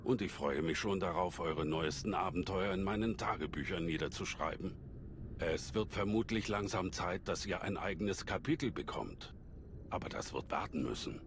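A man playing a calm old alien Jedi master in a German game dub, measured and scholarly, with a deep gravelly voice. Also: noisy background. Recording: sci-fi game ambience behind voice.

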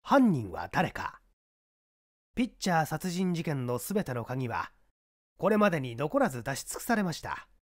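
A man narrating a literary mystery audiobook in a deliberate, theatrical way. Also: on the high side, big pitch swings.

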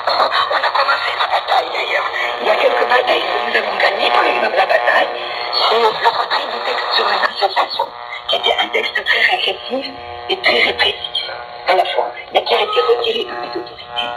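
A woman's voice: on the high side, big pitch swings, fast talker, creaky, loud music behind the voice, poor audio. A woman giving a radio interview as an activist, heard over shortwave.

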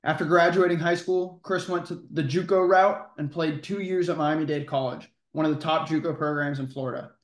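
A man's voice: on the high side, fairly even intonation, brisk, few pauses, reverberant. A man co-hosting a sports podcast, reading a guest introduction and then asking chatty questions. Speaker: podcast co-host.

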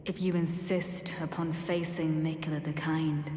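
An actress playing a devout female knight in a video game, courteous yet coldly menacing, speaking formal archaic lines in a measured, solemn way. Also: sits low, level tone, warm tone, big-room sound. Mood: quiet, resolute.

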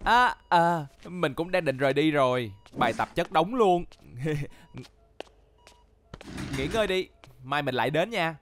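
Expressive, friendly young boy hero. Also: fairly high pitch, a little slow, pauses often, slight background noise. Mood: cheerful. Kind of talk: Vietnamese cartoon dubbing.

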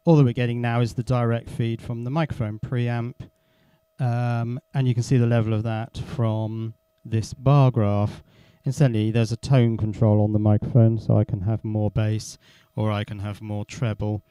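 A man's voice: lowish voice, level tone, moderate pace, mellow, clear, resonant tone. A man giving a casual hands-on electronics demonstration, thinking aloud as he works. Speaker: electronics hobbyist presenter. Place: indoor workshop.

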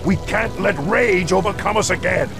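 Gravelly, uneasy orc warrior. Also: male voice, high voice, moderate pace, music in the background. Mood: urgent protest. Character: uneasy male orc warrior, earnest, cautious. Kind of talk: video game acting.

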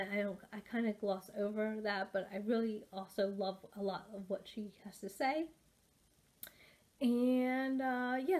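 A woman's voice: fairly high pitch, darkish tone. Casting: woman, 40s, casual book vlogger, chatty and rambling, full of ums, webcam at home. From United States. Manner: rambling, many fillers and restarts. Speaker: booktuber. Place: home study with bookshelves, indoors. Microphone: webcam at desk.

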